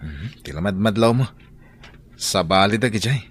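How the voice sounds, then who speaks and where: An actor playing a devoted, easygoing young boyfriend in a radio drama. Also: male voice, on the low side, lively intonation, moderate pace, background noise, natural voice. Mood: easygoing, sincere. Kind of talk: Ilocano radio drama acting.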